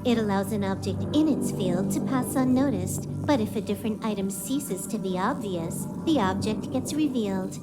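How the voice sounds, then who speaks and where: An actress plays a smug young female hacker from a video game, in a mid-pitched voice with music clearly behind it. She is cool and matter-of-fact, with a nonchalant cockiness. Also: normal speed, hardly any pauses, close to an everyday voice. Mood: explaining. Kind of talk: English video game voice acting. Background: game soundtrack music.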